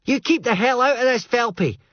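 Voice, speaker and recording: Man voicing a drunk gunman screaming his head off, pitched way up, muffled sound. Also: animated, brisk, no echo. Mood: raging. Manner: yelling, raging. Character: wild, drunk armed young man, menacing. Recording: dull, muffled recording.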